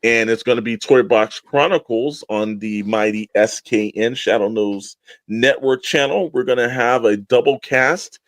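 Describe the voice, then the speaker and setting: A man's voice: mid-range voice, unhurried, no room sound. A man with a middle-range voice co-hosts a podcast in an enthusiastic, informal style. He rambles through promotional chat as if talking to friends. The sound is dry and close, with very little room in it. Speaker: podcast co-host.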